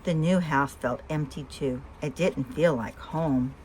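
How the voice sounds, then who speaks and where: A woman reading a picture book aloud for young children with expression, pausing to ask the listeners simple questions. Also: deep voice, darkish tone, a little hiss or hum, low-quality recording. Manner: asks listeners simple questions between story lines. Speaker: storyteller and host of a read-aloud show.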